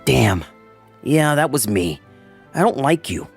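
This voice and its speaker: A man's voice: mid-range voice, very animated, slow, vocal fry, husky, soft background music, exaggerated voice. A man playing a resentful, scheming office rival, whiny and flustered, in a manga dub. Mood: bitter.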